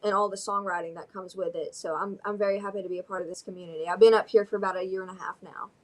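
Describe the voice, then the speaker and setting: A woman's voice: medium pitch, steady tone, very fast. A young woman from Alabama with a Southern American accent, chatting as a guest singer-songwriter in a casual interview, bubbly and giggly with lots of fillers. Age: young adult.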